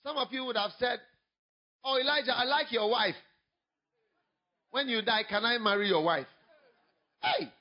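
A man with a Ghanaian English accent, a pastor preaching a sermon, moving from quiet storytelling to loud, emphatic preaching. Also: very high voice, normal speed, long pauses, highs cut off.

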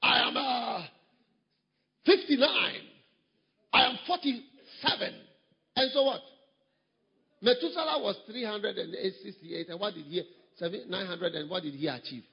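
A man's voice: high voice, animated, a little fast, vocal fry, breathy edge. A man pastor preaching a sermon, emphatic and repetitive, with sudden surges in volume. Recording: long hall reverb, dull sound.

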